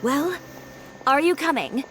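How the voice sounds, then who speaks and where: A woman's voice: high-pitched, very animated, slow, a bit bright, exaggerated voice. A woman voicing a proud, fiery fashion designer with an assertive, dry, self-assured manner in a video game. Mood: dry, determined. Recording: music behind the voice.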